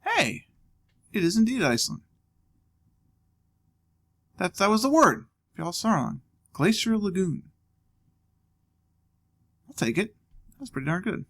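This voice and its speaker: A man talks through a guessing game, half to himself, musing and joking as he goes. His voice is gravelly and his pitch rises and falls a lot, with a casual, chatty let's-play delivery. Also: big pitch swings, slow pace, long pauses, a bit bright. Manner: thinking aloud, musing and joking. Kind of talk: casual gameplay commentary.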